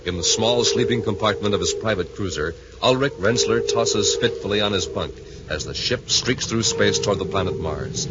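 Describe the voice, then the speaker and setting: Man as a dramatic, low-voiced radio narrator. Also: normal speed, lightly acted. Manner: dramatic, formal scripted delivery. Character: dramatic male announcer narrating a space adventure. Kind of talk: 1950s radio serial narration. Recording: very noisy, muffled 1950s radio recording.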